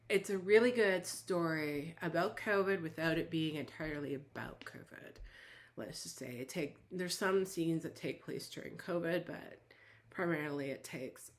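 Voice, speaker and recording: Hoarse woman chatting about a book. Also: sits low, fairly bright tone. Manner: chatty, rambling, with many fillers and restarts. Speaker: book reviewer. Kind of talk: casual book review chat.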